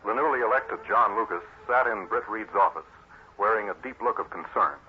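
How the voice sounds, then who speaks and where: An actor narrating an old-time radio drama in scripted style. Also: male voice, on the low side, fairly quick, close to an everyday voice. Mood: measured. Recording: muffled, clearly noisy vintage radio recording.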